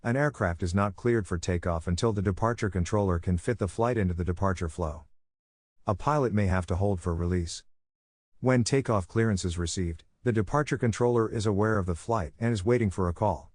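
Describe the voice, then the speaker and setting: A man reading a technical handbook aloud as scripted audiobook narration, in a measured, instructional manner. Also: deep voice, darkish tone, no echo, studio quality.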